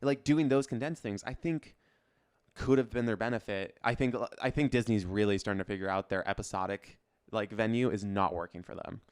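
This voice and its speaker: A man's voice: fairly low pitch, a little fast, smooth, dry recording, studio quality. A man co-hosting a podcast, chatting casually about pop culture in an informal, slangy way with loose phrasing. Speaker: podcast co-host.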